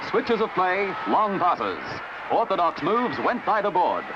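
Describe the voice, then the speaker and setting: Animated high-pitched Australian newsreel man. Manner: brisk, colourful announcer delivery. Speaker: newsreel narrator. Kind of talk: 1950s newsreel scripted narration. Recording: dull vintage newsreel soundtrack. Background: music.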